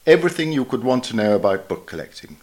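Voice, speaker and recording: A man reading a written memoir aloud as a podcast narrator, in a measured, articulate way with expressive emphasis. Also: medium pitch. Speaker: reader of a magazine article for a podcast.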